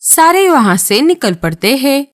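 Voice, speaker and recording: A woman narrating a children's cartoon in a slow, even storytelling way. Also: sits high, music in the background.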